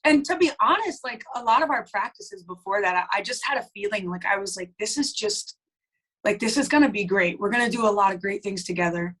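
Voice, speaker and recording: A woman's voice: medium pitch, animated, a little fast, fairly bright tone, low-quality recording. A young woman, a band's lead singer, chatting casually in an interview with lots of fillers. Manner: chatty, many "like" fillers, laughs easily.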